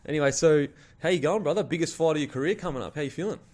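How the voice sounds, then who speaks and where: A man's voice: fairly high pitch, very fast, darkish tone. Man, australian accent, interview host, casual and rambling questions. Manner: chatty, friendly, loose questions. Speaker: podcast host.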